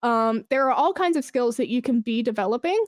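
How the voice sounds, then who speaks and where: A woman with a fairly high voice, recorded close and dry, speaks as a guest in a conversational interview. She gives career advice informally, with encouragement and plenty of emphasis. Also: lively intonation, a little fast, dry recording. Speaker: podcast guest, esports brand and community manager.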